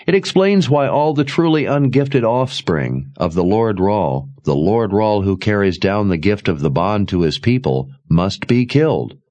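A calm, commanding lord who explains at a slow, measured pace, read by a man in his own low voice. His delivery rises and falls with expression, in a fantasy audiobook. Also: no echo.

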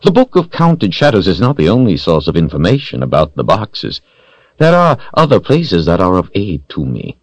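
A man voicing a smug, villainous sorcerer in an audiobook, taunting and deliberate, with theatrical mock courtesy. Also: low-pitched, huge pitch swings, no echo, full character voice. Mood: boastful. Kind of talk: audiobook character voice.

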